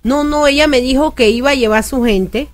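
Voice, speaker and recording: A woman's voice: high voice, brisk, no room sound. A woman with a Panamanian accent making a prank phone call, pleading and persistent. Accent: Panamanian Spanish. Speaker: prank caller.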